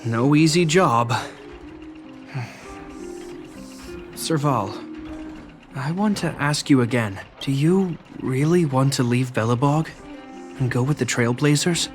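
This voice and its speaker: A man's voice: medium pitch, slow, long pauses, over music, lightly acted. A man playing a dutiful younger-brother guard captain in a video game, speaking in a formal, reserved and sincere way. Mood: earnest, concerned.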